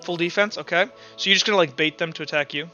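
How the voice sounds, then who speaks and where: A man's voice: on the high side, fast, pushed and bright, highs cut off. A man narrating a tabletop roleplaying game as game master, in a casual, informal way. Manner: casual, informal, sound-effect-laden descriptions. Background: music.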